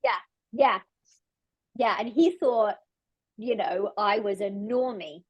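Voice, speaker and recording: A woman's voice: mid-range voice, takes it slowly, slightly rough, no room sound, poor audio. A woman with an English accent, a guest coach talking about her life in an earnest, reflective way, often restarting her phrases. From London. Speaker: podcast guest, leadership coach. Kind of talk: casual conversation.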